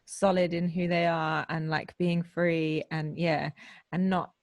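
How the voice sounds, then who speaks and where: Low-pitched woman, agreeable casual chat. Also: little pitch movement, unhurried, dry recording. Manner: agreeable, tentative, fills with "like" and "kind of".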